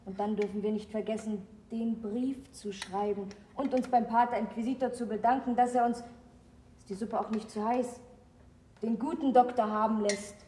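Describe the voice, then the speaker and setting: A woman's voice: on the high side, steady tone, moderate pace, dark tone, far from the mic, slight background noise, lightly acted. An actress playing a patient woman who coaxes her charge as if talking to a child, in a radio drama. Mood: coaxing. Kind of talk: German radio drama acting. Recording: echoey room, off the mic.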